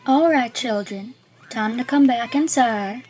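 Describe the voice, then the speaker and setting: A woman playing a stern, philosophical schoolteacher in an audio drama, with a measured, didactic delivery. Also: a little high, a little mellow, lightly acted.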